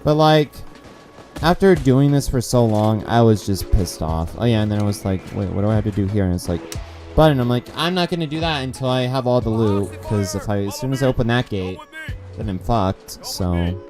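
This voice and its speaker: A man's voice: lowish voice, expressive, a little fast, over music. Rambling young man with a dry, cracking voice. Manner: rambling, frequent restarts and fillers, swears. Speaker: gaming video creator. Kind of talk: casual game review commentary.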